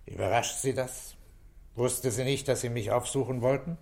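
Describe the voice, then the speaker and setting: A man playing a calm, middle-aged police inspector in a 1960s German radio drama, questioning in terse, measured phrases. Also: medium pitch, gravelly. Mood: calm, matter-of-fact.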